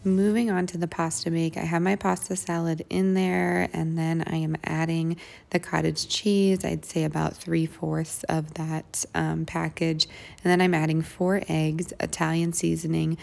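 A woman's voice: low voice, little pitch movement, measured pace, creaky, darkish tone. An American woman in her thirties narrating her meal prep casually as a home vlogger.

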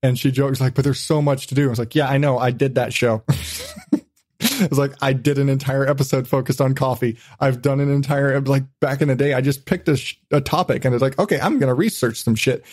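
A man's voice: medium pitch, steady tone, a little fast, darkish tone, no room sound, studio quality. A man hosting a podcast in casual chat, blunt, self-deprecating and swearing freely. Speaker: podcast host.

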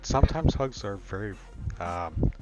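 A man's voice: on the low side, lively intonation, a little slow. Dull-sounding, coaxing man playing a caring friend. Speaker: role-player in a virtual world. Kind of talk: improvised role-play dialogue, casual. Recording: dull lo-fi audio, faint music.

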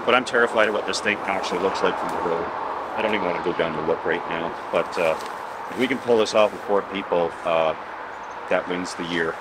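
A man in his forties talks through a camping setup in a damp forest, chatty and self-deprecating. His voice sits on the low side. Background noise is clearly audible in the outdoor recording. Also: brisk. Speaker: camping vlogger. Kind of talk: casual outdoor vlog narration. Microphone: camera mic, handheld close and filmed from a distance.

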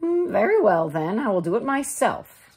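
A woman voicing a busy, firm mother hen from a storybook, with expressive, drawn-out delivery. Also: mid-range voice, husky, voice pushed up. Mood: resolute.

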